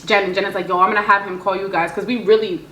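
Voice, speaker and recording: A woman vlogger telling stories in a casual, slangy, animated way, often acting out what people said in dialogue. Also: medium pitch, very fast, a little hiss or hum. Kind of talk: casual storytime chat. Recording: roomy echo.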